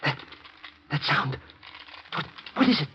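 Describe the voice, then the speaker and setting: An actor playing a nervous, excitable comic sidekick in a 1950s radio drama, stammering and slangy. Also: male voice, a little slow. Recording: muffled, hissy vintage radio broadcast.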